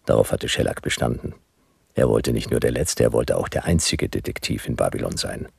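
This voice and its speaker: Casting: a world-weary future gumshoe narrating noir radio drama, extremely low gravelly voice, laconic, close dry sound. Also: male voice, lively intonation, brisk, a little mellow, no room sound, heavily acted. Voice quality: gravelly, husky. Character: world-weary male private detective of the future. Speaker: narrator and lead character.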